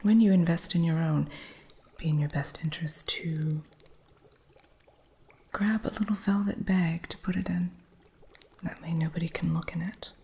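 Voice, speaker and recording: A woman playing a gravelly-whispering eccentric witch shopkeeper. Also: on the low side, steady tone. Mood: hushed whispering. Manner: soft, unhurried whispering with a stern, territorial edge. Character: eccentric, possessive woman witch running a curio shop. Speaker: ASMR roleplay artist. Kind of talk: whispered ASMR roleplay. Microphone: close ASMR microphone.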